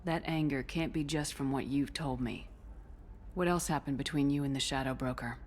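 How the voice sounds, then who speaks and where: A woman playing a steady, commanding military officer in a video game, speaking in a direct, controlled way. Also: rumbling low, normal speed, slight background noise. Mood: probing. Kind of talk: cinematic video game voice acting.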